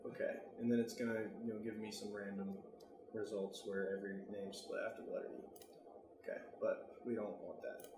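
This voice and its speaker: A man's voice: on the low side, little pitch movement, a little fast. Casual American man in a roomy, noisy recording. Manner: informal, thinking aloud while working. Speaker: tutorial presenter. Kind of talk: casual software tutorial narration.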